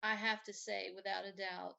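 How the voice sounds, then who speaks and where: A woman's voice: medium pitch, level tone, normal speed, creaky, sharp tone. A woman co-hosting a casual interview and relaying audience comments in a conversational way. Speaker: co-host reading audience comments.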